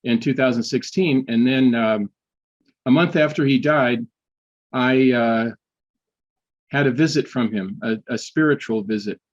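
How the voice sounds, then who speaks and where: An older man, a history professor, telling personal stories in a casual interview, often restarting sentences and filling pauses. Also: medium pitch, highs cut off. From United States. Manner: halting, many restarts and fillers.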